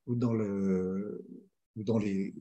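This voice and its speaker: A man's voice: lowish voice, fairly even intonation, slow, warm tone, highs cut off. A man working as a mediator, talking through ideas with frequent fillers and restarts in a conversational interview. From France. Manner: hesitant, many restarts and fillers, storytelling. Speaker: mediator guest.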